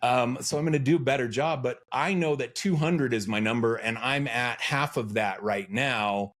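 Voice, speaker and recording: A man's voice: medium pitch, moderate pace, fairly bright tone. A middle-aged man from the United States, a guest on an interview podcast, talking in an earnest, reflective, self-questioning way. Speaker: podcast guest, freelance educator. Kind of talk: conversational interview.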